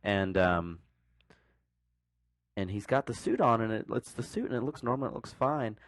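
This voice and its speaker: A man's voice: lowish voice, expressive, moderate pace, no echo, clean studio sound. A man hosting a casual podcast chat in a jokey manner, laughing readily. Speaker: podcast host.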